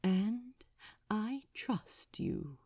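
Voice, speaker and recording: A woman's voice: mid-range voice, lively intonation, extremely slow, mellow, husky, no echo, clean studio sound, exaggerated voice. A woman voicing a prim, fussy yet affectionate male angel in a storybook, with polite, old-fashioned, proper phrasing. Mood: tender.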